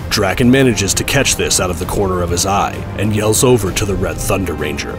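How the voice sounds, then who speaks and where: A deep-voiced man reads scripted action narration as the storyteller of an audio drama. Loud music runs underneath his voice. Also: fairly quick.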